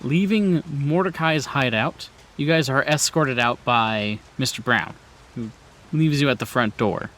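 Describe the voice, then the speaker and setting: A man's voice: mid-range voice, a little slow, a bit bright. A man acting as game master, narrating a tabletop roleplaying game in the second person.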